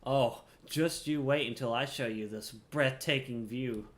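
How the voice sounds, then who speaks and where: A man's voice: medium pitch, unhurried. A man giving casual, rambling livestream commentary over a game in a playful, chatty way. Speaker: game streamer.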